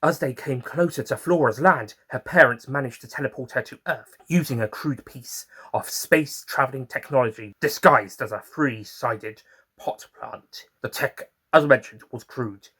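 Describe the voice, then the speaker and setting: Guy doing an eccentric time traveller telling his own tales, mid pitch, chatty and theatrical, whimsical audio story. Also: moderate pace, fairly bright tone, slightly rough. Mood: storytelling. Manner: theatrical, fond asides to listeners. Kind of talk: first-person audio story narration.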